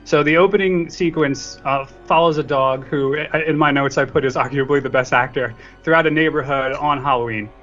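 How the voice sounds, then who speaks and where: A man's voice: fairly high pitch, fairly quick, few pauses, a bit bright. A man in a casual film discussion, talking in a joking, self-deprecating way. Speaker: podcast guest co-reviewer. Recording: dull lo-fi audio, faint music.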